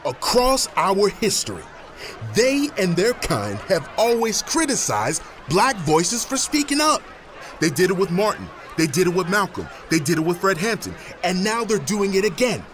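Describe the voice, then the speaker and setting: A fervent male activist addressing the press. His fairly high voice moves expressively, with deliberate, rhetorical phrasing and deep conviction. Faint outdoor noise surrounds him. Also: sing-song, a bit bright. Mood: defiant. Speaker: protest leader. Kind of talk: impassioned political speech in an interview.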